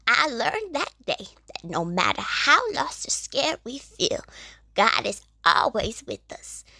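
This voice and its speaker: Woman acting elderly neighbor, nostalgic and devout, bright creaky voice, very animated, gentle storyteller, close dry sound, children's radio play. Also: high voice, dry recording, heavily acted. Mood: reassuring, devout. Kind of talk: children's audio drama acting.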